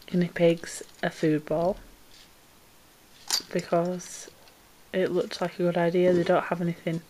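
A woman's voice: on the low side, little pitch movement, measured pace, warm tone, slight background noise, rough recording. A woman chatting casually as she unboxes pet supplies at home, a little congested from a cold and rambling with ums. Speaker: pet vlogger. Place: indoors at home, camera pointed down into a box.

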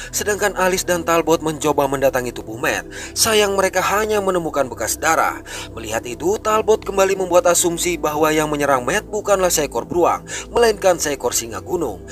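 A man narrating a film recap in a brisk, scripted storytelling way. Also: a little high. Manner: brisk storytelling, rising and falling delivery.

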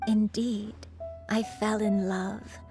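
A woman voicing a gentle elderly grandmother in a game, a tender, remorseful storyteller. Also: mid-range voice, flat delivery, slow, music in the background. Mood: reminiscing. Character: gentle elderly grandmother, secretly a crane spirit.

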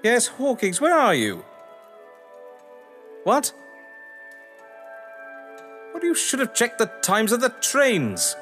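Echoing hall, male actor as haughty English gentleman, lively intonation, florid phrasing, pompous, audio drama. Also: very high voice, takes it slowly, pauses often, full character voice. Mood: peevish, helpless. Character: haughty, well-to-do English gentleman, breezy and evasive. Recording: reverberant hall with music behind.

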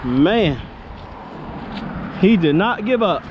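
A man giving excited, slangy running commentary in casual chat while fishing. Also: high-pitched, drawn-out words, dull audio. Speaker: fishing vlogger. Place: outdoors on a bridge over the sea. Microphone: head or chest-mounted action camera. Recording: loud wind and water noise.

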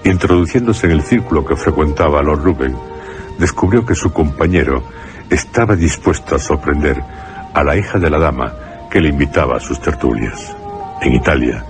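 An actor narrating a gothic radio drama as a solemn storyteller, in measured short phrases. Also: male voice, extremely low pitch, gravelly, full character voice.

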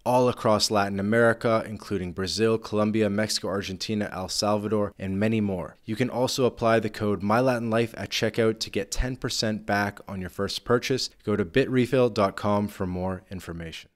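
A man's voice: on the low side, fairly even intonation, fairly quick, few pauses. A man reading a scripted sponsor message in an upbeat tone. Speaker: podcast host reading an ad.

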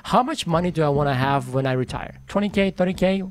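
A man in his thirties talking animatedly in a casual podcast chat about money, recorded on a boom mic in a small studio. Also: quick pace, no echo. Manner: animated, talks with his hands, laughs often. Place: small studio with brick wall. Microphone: boom mic on arm.

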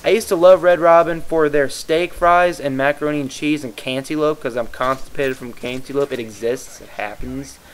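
A man's voice: a little high, fairly even intonation, normal speed, hardly any pauses, slight background noise. A tired young man from the Midwest rambling casually as he commentates over gameplay, often losing his train of thought. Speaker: YouTube gaming commentator.

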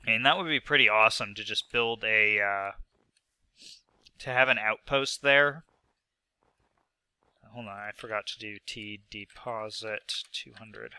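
A man's voice: lowish voice, measured pace, pauses often, sharp tone, clear, resonant tone, no room sound. Stuffy-nosed man narrating a game. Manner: rambling, self-mocking, lots of fillers. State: sick with a stuffy nose. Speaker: gaming video host. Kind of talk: casual gameplay commentary.

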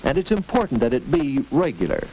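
A dull, faintly noisy and clicking vintage film soundtrack carries an American man's voice. He narrates in the scripted style of a 1940s school film, instructive and measured. His mid-pitched voice rises and falls in a lively way as he tells the story.

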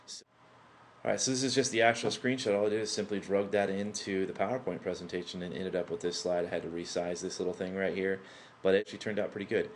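Man, lowish voice, casual screen-recording tutor, built-in laptop mic with faint hiss, lots of ums. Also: steady tone, fast. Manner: rambling, many fillers and restarts. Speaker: tutorial presenter. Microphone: built-in laptop microphone.

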